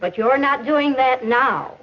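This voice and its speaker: An American actress playing a patient, instructive female mentor in a 1950s educational film, explaining in a measured, didactic way. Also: high voice, expressive. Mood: encouraging, instructive. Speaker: teacher or counselor in an educational film. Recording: muffled old 1950s film soundtrack.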